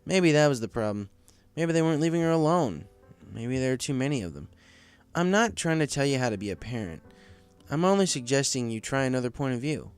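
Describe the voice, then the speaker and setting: A man acts out a grown pegasus pony from a storybook, a sensible parent giving friendly advice. The pitch is lifted and the delivery is conversational and reassuring. Faint music sits underneath. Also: expressive, a little fast, clean tone, close to an everyday voice. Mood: advising. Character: adult pegasus pony factory co-manager, reassuring, reasonable parent.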